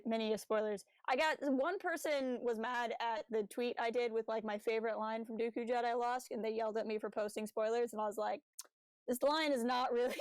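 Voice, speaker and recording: A woman's voice: on the high side, no echo, poor audio. A woman co-hosting a casual livestream fan chat, talking in a chatty, informal and slangy way. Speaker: podcast co-host.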